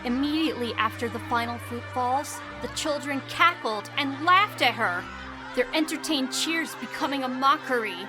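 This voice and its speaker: High-voiced female storyteller. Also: moderate pace, rough recording. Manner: expressive, dramatic storytelling. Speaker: narrator. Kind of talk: scripted storybook narration for audio drama. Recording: music behind the voice.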